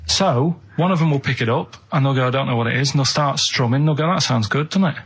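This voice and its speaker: A man's voice: mid-range voice, fast talker, husky. A man, co-hosting a radio show and telling a casual, rambling anecdote in an earnest, plain-spoken way. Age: 30s. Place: radio studio.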